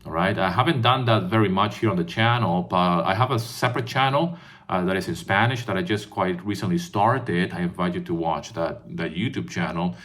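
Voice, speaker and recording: Earnest low-voiced man in his fifties. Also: fairly quick, a bit bright. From Venezuela. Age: 50s. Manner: earnest, repetitive restarts, frequent "right?" tags. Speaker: composer and online music educator. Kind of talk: casual talking-head vlog. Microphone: phone recording.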